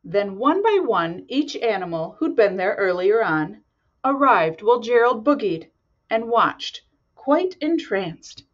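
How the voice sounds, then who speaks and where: A woman reads a picture book to children in an expressive, deliberate way. Her pitch is very lively and her pace fairly slow. The webcam sound is slightly dull. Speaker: volunteer storybook reader. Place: small office indoors.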